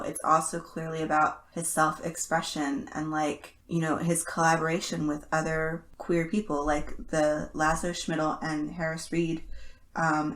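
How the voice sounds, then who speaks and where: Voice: mid-range voice, a bit bright. A woman co-hosting a podcast in casual analytical chat, emphatic and repeating words to stress a point. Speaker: podcast co-host.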